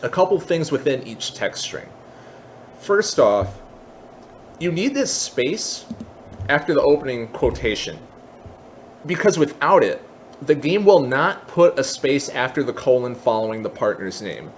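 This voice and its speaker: A man giving a casual software tutorial, explaining each step in an informal, candid way as he thinks aloud. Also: mid-range voice, very animated, unhurried, a little hiss or hum. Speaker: tutorial host.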